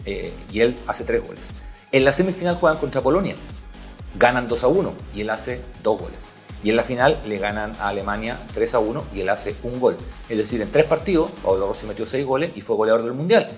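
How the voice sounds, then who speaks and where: A man's voice: mid-range voice, a little fast, soft background music. A man hosting a sports podcast, telling stories emphatically and repeating key points. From Chile. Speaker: podcast host, sports journalist.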